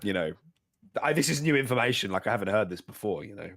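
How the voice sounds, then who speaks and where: Lowish young man with a sore throat. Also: expressive, quick pace, a bit bright. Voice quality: stuffy nose. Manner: laughs often, rambling. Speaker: podcast co-host. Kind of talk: casual chat.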